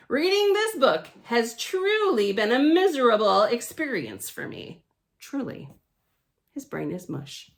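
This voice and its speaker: A woman reading a picture book aloud to children, theatrically emphatic and playfully grumpy, with chatty deadpan asides. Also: high voice. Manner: theatrical, emphatic, with pauses and repeated words for effect. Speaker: library storytime reader.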